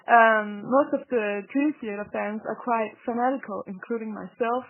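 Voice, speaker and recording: A woman conducting a casual phone interview as an admiring fan, speaking in a hesitant, halting way over a telephone. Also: a little high, fairly even intonation. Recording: tinny band-limited phone line.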